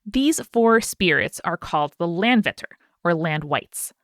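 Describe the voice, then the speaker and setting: A woman co-hosting a podcast, reading out a listener's story in an animated way with chatty asides. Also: lowish voice, measured pace, no echo, clean studio sound. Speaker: podcast co-host.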